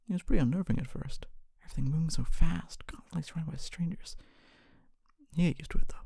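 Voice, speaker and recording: A performer voicing a kindly, humble fantasy city guard in a soft-spoken ASMR roleplay, often whispering, with a gentle, hesitant and reassuring manner. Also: medium pitch, creaky, husky, dry recording. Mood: curious, encouraging. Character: kindly, humble city guard, wannabe knight, calming and helpful.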